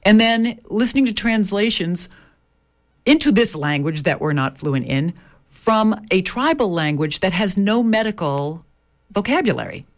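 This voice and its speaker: A woman's voice: mid-range voice, expressive, unhurried. A woman midwife and author talking in an interview, reflective and hesitant, often restarting her sentences. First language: English. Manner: reflective, many restarts and fillers. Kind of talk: interview conversation.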